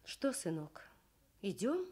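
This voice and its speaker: Woman acting a calm, firm mother. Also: mid-range voice, husky, heavily acted. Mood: gently stern. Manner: measured, gently probing. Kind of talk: Soviet 1960s children's radio storytelling.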